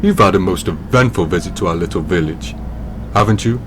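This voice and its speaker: A man's voice: low-pitched, brisk, lightly acted. A man playing a courteous older village doctor in an audio drama. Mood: courteous, wry. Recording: clearly noisy background.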